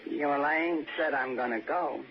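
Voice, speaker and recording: An actress playing a slangy, flirtatious diner waitress in a 1960s radio drama. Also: female voice, low-pitched, moderate pace, slight background noise, natural voice. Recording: muffled old radio, crowd behind.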